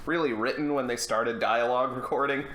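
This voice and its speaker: A man's voice: medium pitch, fairly even intonation, fairly quick, a bit bright. A man co-hosting a casual comedic film podcast, sweary and wry, with mock-formal phrasing. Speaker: podcast co-host. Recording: roomy, noisy room recording.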